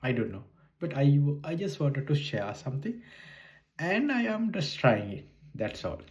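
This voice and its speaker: A man in his forties from Sri Lanka, a native Sinhala speaker talking in a Sri Lankan accent, speaking hesitantly in halting non-native English with many restarts in a casual monologue. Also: a little high, sing-song. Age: 40s. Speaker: vlogger.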